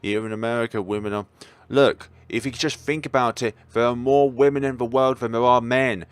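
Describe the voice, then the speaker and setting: A man's voice: mid-range voice, steady tone, brisk, clean tone, no echo. A man reading a visual novel aloud and adding casual, wry commentary as host of a playthrough. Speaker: gaming video host.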